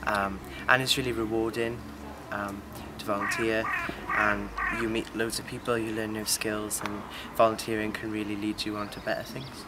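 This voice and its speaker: A man, talking slowly and hesitantly, with many fillers, about volunteering in an interview. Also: on the low side. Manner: slow, flat, hesitant with many ums. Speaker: project volunteer. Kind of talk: documentary interview. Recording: loud ambient noise.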